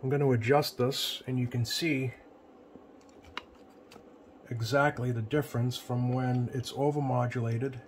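A man giving a casual hands-on tutorial as a repair technician, plain-spoken and often pausing mid-sentence. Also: medium pitch, fairly even intonation. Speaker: CB radio repair technician presenting. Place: indoor electronics workbench. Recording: clear background noise, home workbench room.

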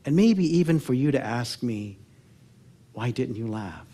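A man in his sixties with an American accent speaks as a public speaker on stage. His pitch is middling. He tells personal stories in an earnest, reflective way, leaving pauses and softening the ends of his phrases. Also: lively intonation, slight background noise. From Oakland, California, United States. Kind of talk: personal storytelling talk on stage. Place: theatre stage before a seated audience.

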